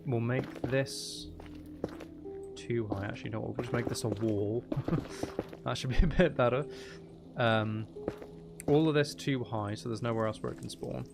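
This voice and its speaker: A man's voice: medium pitch, a little slow, creaky, long pauses. A man giving casual gameplay commentary, muttering to himself as he thinks aloud while he plays. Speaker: gamer playing Minecraft. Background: background music.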